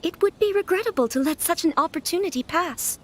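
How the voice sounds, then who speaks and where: A woman's voice: extremely high pitch, animated, a little fast, background noise. A woman playing a refined, resolute young noblewoman in a video game, speaking formally and with composure. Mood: earnest, pleading. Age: young adult.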